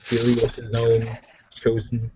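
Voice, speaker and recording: A man's voice: mid-range voice, completely flat delivery, slow pace. Joking male gamer on muffled, noisy audio. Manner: jokey, slangy. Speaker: tabletop game player. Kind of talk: casual game chat. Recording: muffled lo-fi recording with background hiss.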